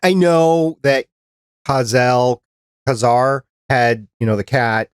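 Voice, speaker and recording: A man's voice: mid-range voice, slow, clean tone, no room sound, studio quality. A man hosting a podcast, chatting casually through a review and putting questions to the others. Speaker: podcast host. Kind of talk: casual review discussion of a cartoon.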